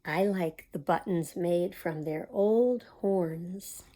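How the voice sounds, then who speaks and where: A woman's voice: fairly low pitch, slow pace, mellow. A woman reading a children's picture book aloud as a storytime host, expressively and with small asides to young listeners. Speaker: storytime reader at a community library.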